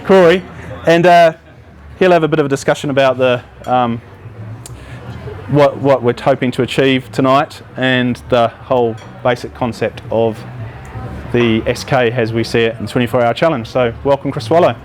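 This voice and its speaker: A man hosting a live talk night, introducing speakers casually with many pauses. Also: medium pitch, slight background noise. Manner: casual, hesitant, lots of ums. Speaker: event host. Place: hall with a live audience.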